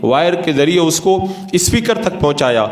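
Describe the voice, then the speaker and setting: Male cleric, slightly higher-pitched, formal and didactic religious lecturer, recorded with clear room echo. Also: expressive, normal speed. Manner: didactic, methodical, formal Urdu-laden diction. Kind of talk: religious lecture, explanatory sermon. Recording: roomy, echoing sound.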